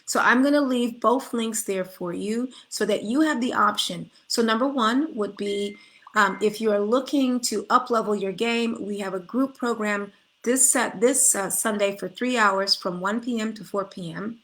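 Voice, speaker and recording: A woman's voice: mid-range voice, normal speed, fairly bright tone. A middle-aged woman coach giving an earnest, spiritual motivational talk, inviting listeners to come forward, with frequent restarts. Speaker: life coach. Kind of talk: motivational direct-to-camera talk.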